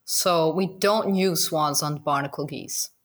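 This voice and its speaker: A woman's voice: low voice, measured pace. A Danish woman, a native Danish speaker, chatting casually as a guest about goose hunting, with many restarts and fillers and frequent laughs. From Denmark. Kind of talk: casual interview chat.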